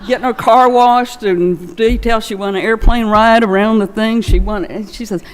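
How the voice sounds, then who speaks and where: A woman's voice: fairly high pitch, fairly even intonation, normal speed. An older woman telling informal, folksy anecdotes as a remembrance speech in a large church. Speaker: friend giving a remembrance. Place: large church, at a memorial gathering. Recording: long echo of a large church hall.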